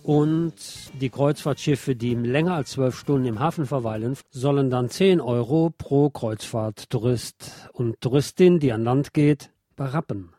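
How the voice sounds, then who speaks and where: Male news presenter, mellow tone, scripted delivery with wry asides, faint music behind. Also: medium pitch, unhurried, few pauses. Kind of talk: scripted local news read with spoken asides.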